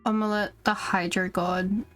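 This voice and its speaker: A woman's voice: medium pitch, little pitch movement, a little slow, fairly bright tone. Chatty woman streaming a video game. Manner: chatty, informal asides to viewers. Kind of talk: casual live gameplay commentary. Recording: game music behind. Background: video game music.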